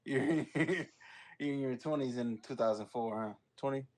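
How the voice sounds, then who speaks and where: A man's voice: mid-range voice, moderate pace, a little mellow. A man co-hosting a podcast, chatting casually, teasing and laughing often. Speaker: podcast co-host. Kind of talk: casual chat.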